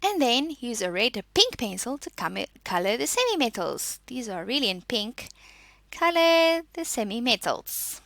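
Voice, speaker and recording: A woman's voice: fairly high pitch, big pitch swings, normal speed, creaky, a bit bright, no room sound. A woman teacher giving an instructional school lesson, reading notes and explaining exercises, posing questions and answering them. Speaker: science teacher.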